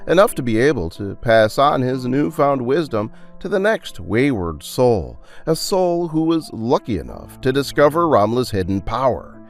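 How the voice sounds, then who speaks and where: A man narrating a scripted audio drama with theatrical, suspenseful storytelling. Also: medium pitch.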